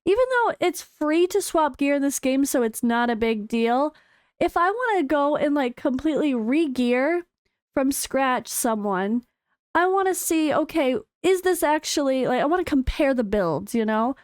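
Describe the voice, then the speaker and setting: An American woman with a slightly hoarse voice, a gaming content creator chatting excitedly through developer notes. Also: sits high, a little slow, no room sound, studio quality. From United States. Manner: chatty and excitable, with frequent fillers. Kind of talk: casual commentary reading developer notes.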